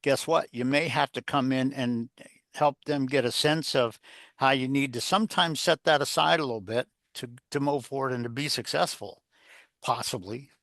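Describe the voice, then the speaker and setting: A man's voice: medium pitch, normal speed, fairly bright tone, no room sound. Rambling man giving conversational advice, dry sound. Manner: rambling, repeats phrases, poses rhetorical questions. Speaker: interview guest, leadership author and coach.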